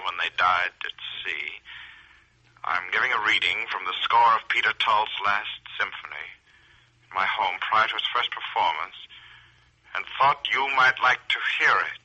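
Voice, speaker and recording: An actor playing a solemn symphony conductor, formally reading out a letter in old-time radio style, with a harsh edge. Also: male voice, on the low side, bright, forceful tone, slightly rough, exaggerated voice, poor audio. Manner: formal, measured.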